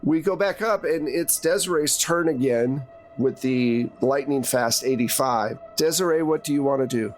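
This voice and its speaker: A man's voice: medium pitch, normal speed, few pauses, soft background music. A man improvising narration as game master of a tabletop game, casual and conversational with vivid descriptions.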